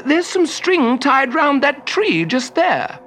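A man's voice: high voice, lively intonation, measured pace, fairly bright tone, voice pushed up. A man voicing a cunning, grumpy old wizard in a storybook, with a theatrical, exclamatory manner. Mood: wheedling, strained. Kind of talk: storybook character voice.

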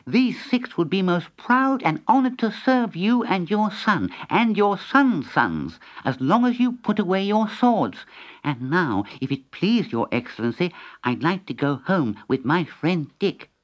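A man's voice: high voice, very animated, unhurried, pitched above the performer's own voice, low-quality recording. A man voicing a clever, courteous talking cat in a storybook, with a formal, courtly manner. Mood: courteous, confident. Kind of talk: storybook character voice.

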